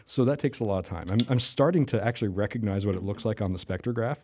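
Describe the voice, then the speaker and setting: A man's voice: lowish voice, fast, mellow, breathy edge. A man, a podcast host, chatting as a guest in a casual interview and often asking "you know what I mean.".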